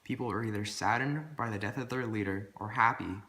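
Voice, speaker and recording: A man's voice: lowish voice, fairly quick, sharp tone. A man reading scripted news in an amateur newsreel style, with stock newscaster phrasing. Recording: echoey room with faint background noise.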